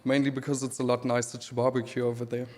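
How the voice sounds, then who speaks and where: A man in his thirties from Namibia with a German accent, giving a relaxed conference talk with dry humor and many pauses. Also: mid-range voice, slight background noise. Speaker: speaker presenting his creative work. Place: event hall. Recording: reverberant hall.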